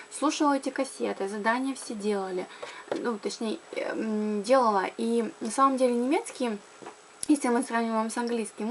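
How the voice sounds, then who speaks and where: A woman's voice: fairly high pitch, a little hiss or hum. A young woman from Karelia chatting casually to camera about learning languages, with frequent fillers and self-corrections. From Karelia, Russia. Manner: chatty, lots of fillers and restarts. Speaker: language blogger.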